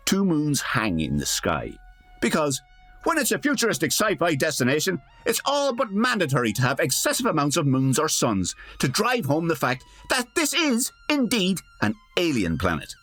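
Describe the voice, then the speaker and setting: Highly animated man narrating radio comedy. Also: moderate pace, faint music behind. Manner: arch, dramatic.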